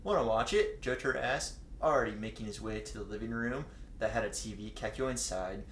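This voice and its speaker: A man's voice: fairly low pitch, fairly quick. Man casually reading aloud in echoey room. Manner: tosses in crude, teasing asides. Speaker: guest co-reader of fan fiction. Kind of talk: casual joking read-aloud. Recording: roomy echo with clear background noise.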